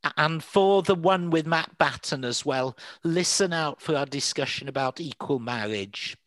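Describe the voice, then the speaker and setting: A man's voice: a little high, normal speed, fairly bright tone, no echo. A man with a Manchester accent, a priest hosting a podcast, talking through past episodes in a thoughtful, rambling way with frequent fillers and restarts. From England. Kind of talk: casual conversational podcast chat.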